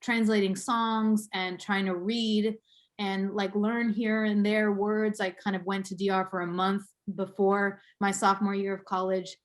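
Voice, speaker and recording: A woman's voice: medium pitch, flat delivery, unhurried. Reflective woman in her thirties from Boston. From Boston, USA. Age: 30s. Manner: reflective, frequent fillers and laughs. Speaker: interview guest, filmmaker. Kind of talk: casual storytelling interview.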